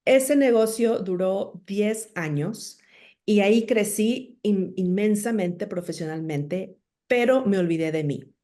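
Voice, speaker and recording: A woman's voice: mid-range voice, slow, mellow. Middle-aged Mexican woman telling her story. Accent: northern Mexican. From Monterrey, Mexico. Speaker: podcast guest, entrepreneur and speaker. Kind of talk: personal storytelling in an interview.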